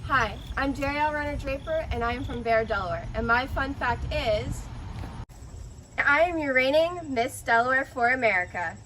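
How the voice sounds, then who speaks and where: Young woman senator, cheerful, high voice, background noise clearly audible. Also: animated, moderate pace, sharp tone, rough recording. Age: young adult. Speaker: class senator. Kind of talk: casual self-introduction to camera.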